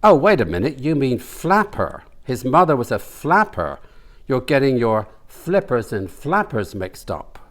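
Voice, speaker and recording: Man as a wry, gossipy older man, slow-spoken. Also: medium pitch, very animated. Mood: wry correcting.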